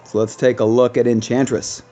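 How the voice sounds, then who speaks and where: A man hosting a gaming video with casual, chatty commentary, thinking aloud with trailing pauses. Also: mid-range voice, level tone, warm tone, faint music behind.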